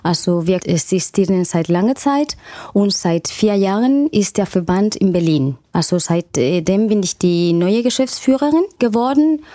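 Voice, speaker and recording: A woman with a Spanish accent speaks as an interview guest, answering without a script. Her tone is mellow and relaxed at medium pitch, recorded dry. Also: measured pace, no room sound. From Spain. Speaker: managing director, interview guest.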